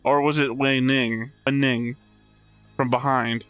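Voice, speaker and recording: A man hosts a reaction show in a medium-pitched voice. His commentary is casual and conversational. Music plays faintly behind him. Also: little pitch movement, slow, a bit bright, clear, resonant tone.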